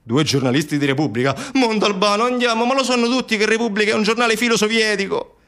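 Satirical stage monologue: male actor as evasive official, fairly high voice, colloquial, mockingly defending shady experts. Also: fast. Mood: exasperated, defensive. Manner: colloquial, sarcastic, animated rhetorical asides. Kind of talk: theatrical satirical monologue, one side of a conversation.

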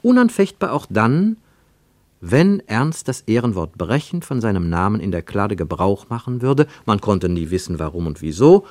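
A man reads literary prose for radio as a narrator, with deliberate, emphatic phrasing and dramatic pauses. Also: fairly low pitch, darkish tone, no room sound.

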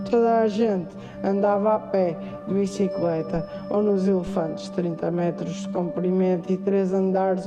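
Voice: mid-range voice, close to an everyday voice. A performer with a European Portuguese accent playing a solemn narrator of a dystopian future in a radio drama.

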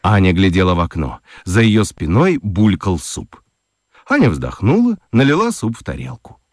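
Lively low-voiced male storyteller. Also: normal speed. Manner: expressive storytelling. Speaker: narrator. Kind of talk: scripted narration for children's radio.

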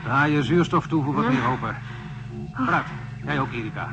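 An actor playing a forceful spaceship commander in a radio drama, urgently ordering and steadying a frightened crew. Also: male voice, mid-range voice, animated, normal speed, lo-fi sound, close to an everyday voice. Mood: commanding. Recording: very noisy radio drama cabin scene.

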